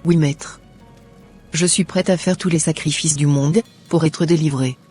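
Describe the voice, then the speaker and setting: A man's voice: a little high, steady tone, a little slow, warm tone, soft background music, close to an everyday voice. A man voicing an anxious, submissive wife in an amateur cartoon dub, with earnest, pleading delivery.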